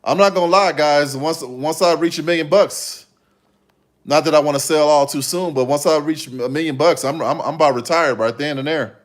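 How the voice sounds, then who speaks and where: A man's voice: fairly high pitch, normal speed. A man in his fifties hosting a livestream, chatting casually with viewers in a rambling way and often repeating himself. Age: 50s. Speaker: livestream host.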